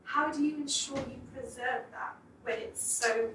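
A woman's voice: high-pitched, unhurried, a bit bright. A woman in the audience at a public talk, asking a long, reflective question. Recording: echoey room, distant mic, faint noise.

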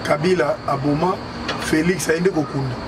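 A man's voice: a little high, moderate pace. A man talking casually in an informal conversation. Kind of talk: casual chat. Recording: distant mic, outdoor vehicle noise.

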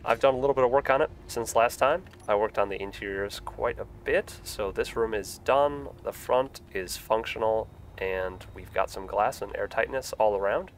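A man's voice: lowish voice, normal speed, few pauses, slight background noise. A young man with a stuffy voice from a cold, chatting casually with lots of fillers while live-streaming a building game. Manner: relaxed, rambling, frequent fillers.

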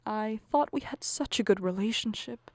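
A woman's voice: mid-range voice, normal speed, darkish tone, dry recording, studio quality, close to an everyday voice. A woman playing an anxious, bickering middle-aged wife and exasperated mother in a radio comedy play, pleading and arguing melodramatically. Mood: wounded, pleading. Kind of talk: stage comedy acting for radio.

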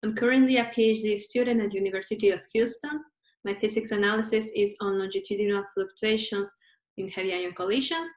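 A woman's voice: medium pitch, fairly even intonation, fairly quick, echoey room. A woman PhD student from Ecuador giving an upbeat self-introduction outdoors.

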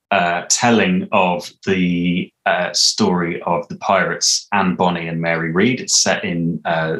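Brief: British male creative being interviewed, very low voice, hesitant phrasing, roomy video-call sound. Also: a bit bright. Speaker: interviewed audio drama creator and composer. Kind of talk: casual interview talk. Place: small room indoors. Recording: echoey room on video call.

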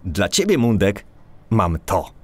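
Animated, courteous cat inventor. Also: male voice, on the low side, takes it slowly, a little hiss or hum. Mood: businesslike. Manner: polite, self-assured. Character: courteous, confident male cat police gadget expert. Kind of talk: children's audio drama acting.

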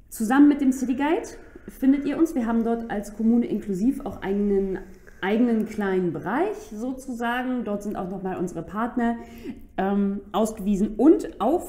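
A woman co-hosting a casual podcast, relaxed and quick to laugh, explaining things with a few fillers. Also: mid-range voice, echoey room. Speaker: podcast co-host. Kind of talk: casual chat. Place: office room.

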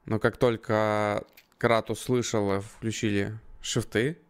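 A man's voice: on the low side, flat delivery, slow, smooth. A man co-commentating a live esports match, conversational and analytical, without a script. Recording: faint gunfire and clicks behind. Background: game gunfire, clicks.

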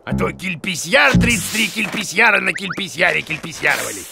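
A silly know-nothing cartoon teacher, bossy and excitable. The voice is high and crackly, leaping about in pitch as he blusters with total confidence, with music playing underneath. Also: fairly quick, vocal fry, full character voice. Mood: cocksure. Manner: blustering, cocksure, exclaims in alarm. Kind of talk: children's cartoon voice acting.

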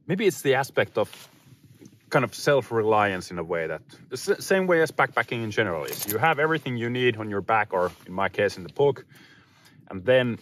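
A man in his thirties with a fairly low voice talks casually to the camera at an outdoor camp. He muses in short, unhurried phrases with pauses between them. Some ambient noise sits behind his voice. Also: big pitch swings, fairly bright tone. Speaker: outdoor vlogger. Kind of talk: casual, reflective chat to camera. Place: inside a small tent and outdoors in snowy woods.

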